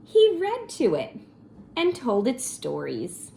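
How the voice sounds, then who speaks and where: A woman reading a story aloud for children in an expressive, coaxing way, pausing for chatty teacherly asides. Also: fairly high pitch, gravelly, a little hiss or hum. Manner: animated, coaxing, pausing to comment and wonder.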